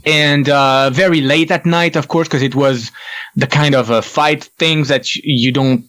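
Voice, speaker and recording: A young man from France with a French accent, chatting as a podcast guest, excitable and eager to jump in. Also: medium pitch. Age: young adult. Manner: excitable, quick, laughs often. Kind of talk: casual chat.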